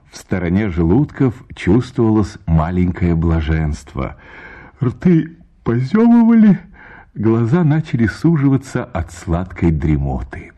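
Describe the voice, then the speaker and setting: A man reading a literary short story as an actor, theatrically and expressively, with deliberate pauses. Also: deep voice, warm tone, husky.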